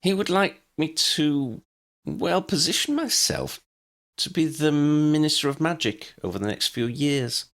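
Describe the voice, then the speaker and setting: A man's voice: fairly high pitch, expressive, measured pace, fairly bright tone, pitched above the performer's own voice. A man voicing an earnest, bossy, clever young witch in a storybook, with an insistent, emphatic manner. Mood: hesitant. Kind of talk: storybook character acting.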